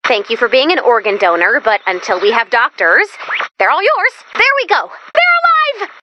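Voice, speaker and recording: Perky, high-pitched robot assistant. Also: female voice, moderate pace, dull audio, a little hiss or hum. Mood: dry sarcasm, then excited, commanding. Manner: chirpy, expressive, dryly sarcastic. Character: perky, anxious robot assistant running a survival base. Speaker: mission guide and announcer. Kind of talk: animated video game voice acting.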